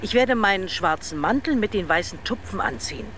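A woman's voice: mid-range voice, lively intonation, brisk, a bit bright, natural voice. A woman playing a kindly aunt in a children's radio play, reading her letter in a friendly, careful way. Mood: friendly, welcoming. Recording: clear vehicle noise behind. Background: vehicle ambience.

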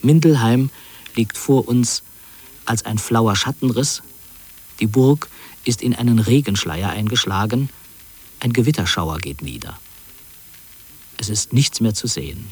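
A middle-aged man narrating an autobiographical radio piece in a measured, precise literary manner. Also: medium pitch, expressive, slightly rough.

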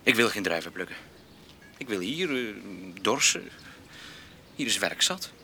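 Hesitant young farm lad, bright-voiced. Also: male voice, lowish voice, very animated, measured pace, slight background noise, exaggerated voice. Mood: stubborn longing. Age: young adult. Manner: hesitant, stammering. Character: naive, shy young farm lad, stubborn but easily cowed. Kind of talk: radio drama acting.

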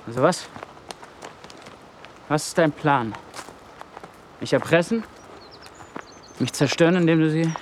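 A medium-pitched male voice acted by a man plays a suspicious, controlling figure in a radio drama. His delivery is terse, probing and menacing, over clear outdoor ambience with animal sounds. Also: slight background noise, lightly acted. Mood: angry, threatening. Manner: probing, taunting, terse questioning. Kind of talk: radio play acting.

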